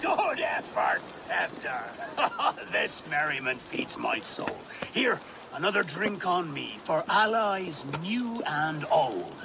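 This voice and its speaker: A man's voice: a little high, wildly swooping pitch, takes it slowly, heavily acted. A man playing a merry, carefree village elder resigned to his fate, in a dramatic game cutscene. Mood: jovial. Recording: clear outdoor ambience noise.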